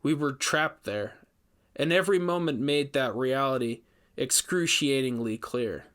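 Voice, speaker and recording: A man narrates a horror story in the first person. His voice sits at a middle pitch. He delivers it as scripted storytelling. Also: a little slow, a bit bright.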